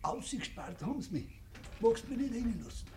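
An actor playing a tipsy, grumbling Bavarian farmer in broad dialect in a 1950s radio play. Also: male voice, extremely high pitch, big pitch swings, normal speed, warm tone. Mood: furtive, pleading. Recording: noisy old 1950s radio recording.

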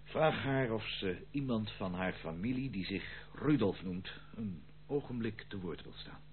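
A man's voice: on the low side, lively intonation, unhurried. An actor playing a roguish, secretive male intruder in a radio play, nonchalant, teasing and theatrical. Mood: cajoling. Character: roguish, secretive prodigal intruder, jaunty and sly. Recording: tinny old radio recording, faint noise.

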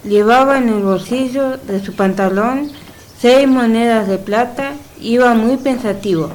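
A woman's voice: fairly high pitch, unhurried, a little mellow, slight background noise, rough recording. A woman presenting a radio programme and narrating its stories.

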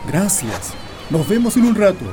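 An actor playing a curt, dismissive husband in a radio drama, speaking brusquely with a Chilean accent. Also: male voice, high voice, sing-song, measured pace. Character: curt, dismissive husband Don Manuel, unbothered and restless. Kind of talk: Spanish-language radio drama acting. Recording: noisy outdoor ambience with bird calls.

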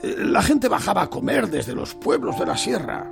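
An actor playing a poor, nosy old farmer in a Spanish radio drama, with a hesitant, stammering manner and a Spain accent. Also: male voice, high voice, sing-song, moderate pace, creaky, music in the background, exaggerated voice. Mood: nostalgic. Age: older. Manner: hesitant, stammering, repeating words.

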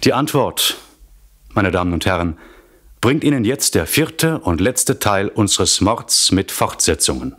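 Male narrator, standard German, low register, measured theatrical delivery for a scripted suspense radio serial. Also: very animated, fairly bright tone.

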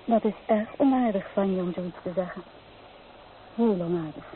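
Lo-fi old radio play with faint noise, woman acting an earnest young bride-to-be, mid-pitched, dreamy, wistful. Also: normal speed, close to an everyday voice. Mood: defensive, hurt. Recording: muffled, noisy old radio recording.

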